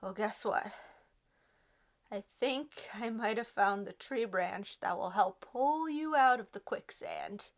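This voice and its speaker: A woman's voice: mid-range voice, takes it slowly. A young woman playing an anxious, self-deprecating romantic hopeful in a naturalistic comedy web series, with a rambling, wry, stammering delivery. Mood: tipsy, dramatic. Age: young adult.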